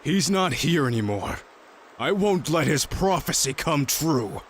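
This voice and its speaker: A man's voice: on the high side, sing-song, unhurried, a little hiss or hum. A man in an amateur fandub playing a brooding, defiant young rogue warrior, intense and dramatic. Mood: grim resolve.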